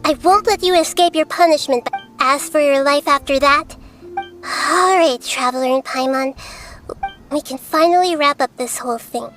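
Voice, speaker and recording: A woman voicing a gentle, wise, childlike goddess in a video game, composed and articulate. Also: high voice, normal speed, soft background music. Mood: calm, resolute. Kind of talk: scripted video game dialogue.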